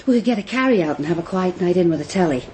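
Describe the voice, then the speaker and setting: Casting: actress for self-possessed, direct woman in radio crime drama, lower register. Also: lively intonation, fast talker, warm tone, a little hiss or hum, natural voice. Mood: affectionate, coaxing.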